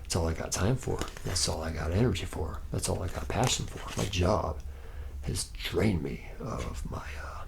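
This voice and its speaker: Casting: male actor as charming barfly robot mechanic, low gravelly voice, slangy rambler, intimate ASMR roleplay with noticeable noise. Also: moderate pace. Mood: weary, wistful. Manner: rambling, slangy, buddy-buddy, slightly self-deprecating. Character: charismatic, boozy, slacker male robot mechanic, a womanizer. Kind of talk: improvised ASMR roleplay, addressing the listener as a trainee.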